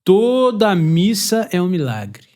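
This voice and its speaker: Male Brazilian podcast host, high voice, lively and chatty. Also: slow. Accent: Brazilian Portuguese. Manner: chatty, animated, laughs easily. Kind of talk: casual chat.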